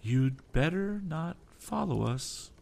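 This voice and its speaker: A man's voice: on the high side, animated, takes it slowly, gravelly, a little mellow, voice pushed up, full character voice. Man doing a grim old sea captain. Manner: grim, firm warnings. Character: gruff male sea captain, quietly threatening.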